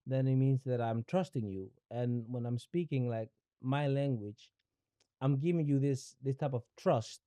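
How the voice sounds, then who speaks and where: A man's voice: mid-range voice, unhurried, mellow, clean tone, dry recording. A young man from Ecuador whose first language is Spanish, talking as a podcast guest in a reflective, searching way, often restarting and repeating words. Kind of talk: reflective conversational interview.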